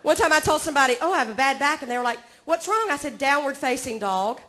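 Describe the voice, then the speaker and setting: High-voiced Southern woman doing stand-up. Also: animated, quick pace, fairly bright tone. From Georgia, US. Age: 40s. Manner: drawling, self-mocking, chatty with the crowd. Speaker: stand-up comedian. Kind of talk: stand-up comedy set. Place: comedy club stage.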